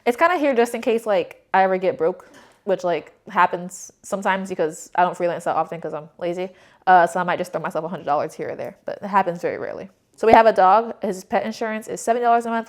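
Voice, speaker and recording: A woman's voice: on the low side, fast talker. A young woman giving a casual budget recap as a vlogger, chatty and candid with informal slang. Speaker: personal finance vlogger.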